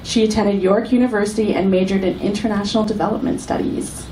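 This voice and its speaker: Moderator, woman, mid-pitched, brisk, reading an introduction, distant mic in an echoey room. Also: fairly even intonation. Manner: brisk, reads a bio aloud. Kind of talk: panel moderation and speaker introduction. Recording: echoey room, mic from far off, audible hiss.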